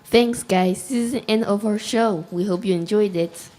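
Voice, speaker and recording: A woman's voice: mid-range voice, moderate pace, a little mellow. A teenage girl co-hosting a school web radio show, reading carefully. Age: teens. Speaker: co-host.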